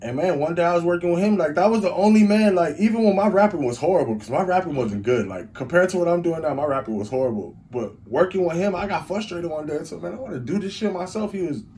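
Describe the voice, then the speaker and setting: A young man with a Chicago accent, a rapper and engineer, telling stories in a rambling, animated way as an interview guest. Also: on the high side, quick pace, hardly any pauses, a little hiss or hum. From Chicago, USA. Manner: rambling, animated storytelling with lots of "like". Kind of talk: casual storytelling interview.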